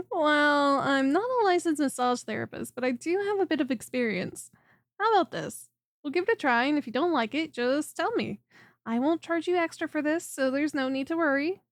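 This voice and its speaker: High-voiced, clingy lamia chiropractor played by a woman. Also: lively intonation, moderate pace, dry recording, studio quality. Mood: affectionate, teasing. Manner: soft, coaxing, teasing, attentive. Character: friendly, clingy female lamia chiropractor, coiled snake monster girl. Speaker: voice performer. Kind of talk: ASMR roleplay, spoken to the listener.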